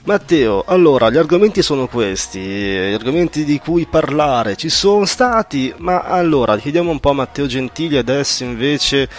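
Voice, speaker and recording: A man's voice: mid-range voice, lively intonation, normal speed, few pauses. A man hosting a casual radio interview, chatting in a familiar way with his guest. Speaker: radio show host.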